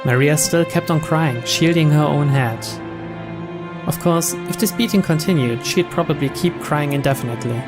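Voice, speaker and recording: Male narrator, slightly high voice, reading visual novel prose aloud over prominent game music. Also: normal speed. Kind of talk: scripted story narration read aloud.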